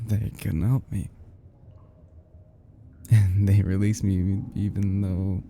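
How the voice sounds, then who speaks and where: A man playing an obsessive, lovesick young yandere kidnapper in an ASMR roleplay drama, stammering and sighing with halting, fragile tenderness, often dropping into breathy half-whispers. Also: low voice, warm tone, a little hiss or hum, full character voice. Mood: bitter, wounded.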